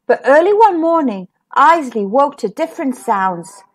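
Female children's storyteller, very high pitch, unhurried pace, expressive read-aloud with stretched emphasis. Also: low-quality recording. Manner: expressive, drawn-out delivery with emphasized key words.

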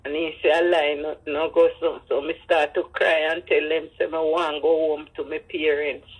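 Husky older Jamaican woman. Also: sits low, flat delivery, normal speed, poor audio. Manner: speaks in patois, acts out dialogue. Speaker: grandmother telling her life story. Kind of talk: personal storytelling.

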